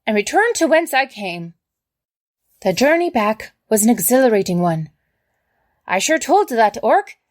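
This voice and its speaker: A woman voicing a prim, indignant hobbit adventurer reading out her letter home with emphatic, dramatic storytelling. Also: high voice.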